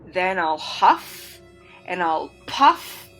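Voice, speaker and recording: A woman's voice: lowish voice, very animated, extremely slow, faint music behind, heavily acted. A woman voicing a big, bad, greedy male wolf who bellows threats. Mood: demanding. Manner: loud, blustering threats.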